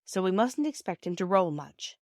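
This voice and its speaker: Woman as a gentle, patient English mother. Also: lowish voice, sing-song, dry recording, clean studio sound. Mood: matter-of-fact. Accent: British. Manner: measured, tender, reasoning.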